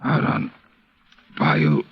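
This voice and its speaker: An actor playing a stabbed, dying man who gasps out his words in broken whispers, in a 1950s radio drama. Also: drawn-out words. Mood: gasping. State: wounded, breathless. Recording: muffled vintage radio with hiss.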